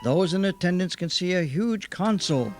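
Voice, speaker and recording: Higher-voiced male radio-drama narrator. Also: lively intonation, normal speed, soft background music. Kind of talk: scripted Golden Age radio drama narration.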